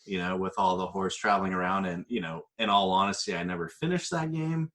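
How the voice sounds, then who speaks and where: A man's voice: sits low, fairly quick, fairly bright tone. A man talking casually as a podcast panelist, in a tentative, loosely rambling manner. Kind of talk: casual chat.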